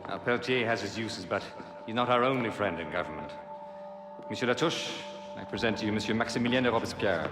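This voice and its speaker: A man's voice: lowish voice, brisk, close to an everyday voice. A man playing a commanding grandmaster of a secret order, speaking with formal, ceremonious authority in a video game. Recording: echoing hall with music underneath.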